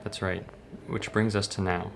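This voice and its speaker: A reserved hospital doctor from a horror manga dub, acted by a man. He has a lowish, creaky voice and a clinical, measured manner, with faint ambient noise behind him. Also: level tone. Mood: calm, clinical.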